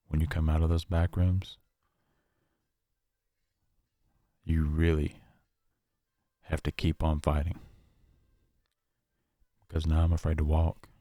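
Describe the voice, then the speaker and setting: A haunted, paranoid survivor, acted by a man, leaves a horror audio log in a very low, dark voice. He speaks in halting, confessional phrases with long pauses, keeping to a monotone. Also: no echo, clean studio sound. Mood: haunted, afraid. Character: shaken, paranoid male survivor of a nightmare realm.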